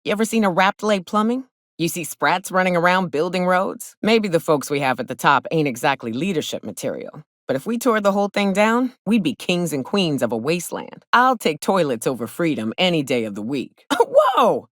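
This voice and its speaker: An actress playing a blunt, hard-drinking frontier monster hunter in a video game, with a wry, folksy way of talking. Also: female voice, fairly low pitch, very animated, normal speed, no room sound, clean studio sound. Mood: wry, probing. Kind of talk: video game companion dialogue.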